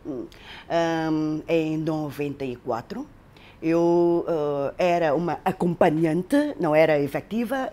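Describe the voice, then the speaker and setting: A woman's voice: on the low side, darkish tone. A middle-aged woman from Macau, an interpreter telling her story in an interview, hesitant with many fillers. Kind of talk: interview recollection.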